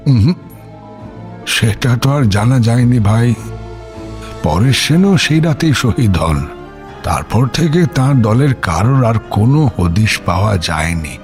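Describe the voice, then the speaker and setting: A man's voice: mid-range voice, lively intonation, a little slow, a little mellow, lightly acted. A man acting an elderly village grandfather in an audio drama, speaking as a reflective, rhetorical storyteller over music and crickets. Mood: grave, recalling. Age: older. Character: elderly village grandfather recounting forgotten freedom fighters. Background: music bed with cricket sounds.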